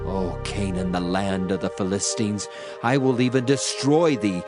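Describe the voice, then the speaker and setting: A man's voice: lowish voice, fairly even intonation, measured pace. A man giving a solemn, dramatized audio Bible reading with declamatory emphasis. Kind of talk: dramatized scripture reading in archaic English. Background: background music.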